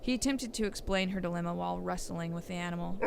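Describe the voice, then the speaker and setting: A woman's voice: lowish voice, fast, creaky. A woman reading scripted storybook narration for an audio drama, on a noisy recording.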